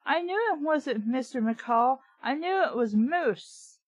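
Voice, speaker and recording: Woman as snippy kid sister for a storybook, easily flustered, high voice, dry recording. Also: lively intonation, slow pace, slightly rough, dry recording, lo-fi sound, full character voice. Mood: indignant. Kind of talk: storybook read-aloud.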